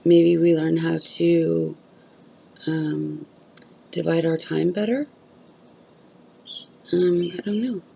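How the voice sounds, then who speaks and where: A woman's voice: low-pitched, fairly even intonation, takes it slowly, gravelly, pauses often, mellow, muffled recording, slight background noise. A woman vlogging candidly about chronic illness, blunt and open, swearing freely while losing her voice. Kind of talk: candid casual vlog talk.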